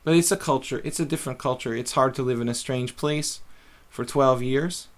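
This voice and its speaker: A man's voice: medium pitch, moderate pace. A middle-aged man casually commentating his own chess games on a live stream, thinking aloud with many fillers.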